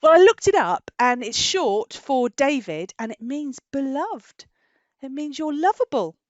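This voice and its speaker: A British woman hosting story time for young children, asking animated questions, stretching key words and adding tag questions. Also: sits high, measured pace, no echo, dull audio. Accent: British English.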